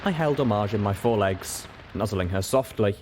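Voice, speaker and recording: A man reading first-person story narration as an audiobook, in an expressive, dramatic way. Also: lowish voice, moderate pace, noisy background. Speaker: audiobook reader.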